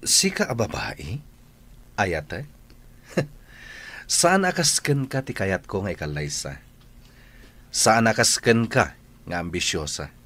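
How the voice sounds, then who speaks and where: A man acting a teasing, evasive young rich playboy in Ilocano radio drama, with an Ilocano accent and a husky voice. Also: fairly low pitch, sing-song, takes it slowly, pauses often, a bit bright, full character voice. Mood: mocking, defiant.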